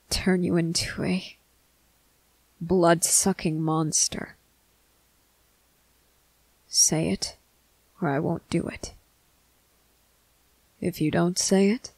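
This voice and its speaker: A performer playing a stern, protective male vampire officer in an ASMR roleplay, speaking deliberately and sometimes hushed, with a commanding manner. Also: mid-range voice, vocal fry, slightly rough, no room sound, heavily acted, low-quality recording. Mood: stern, menacing. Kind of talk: ASMR roleplay addressed to the listener.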